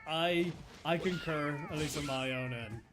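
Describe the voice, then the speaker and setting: A man's voice: a little high, a little slow, background noise. A man in his thirties chatting casually and earnestly while streaming a game, rambling a little with restarts and chuckles. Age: 30s. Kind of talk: casual live-stream chat.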